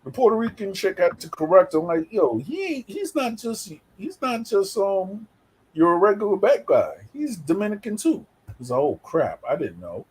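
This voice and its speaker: A man's voice: sits high, big pitch swings, normal speed, darkish tone, breathy edge, poor audio. A man with a New York accent from the Bronx, hosting a casual chat and telling rambling stories with many fillers. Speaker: host.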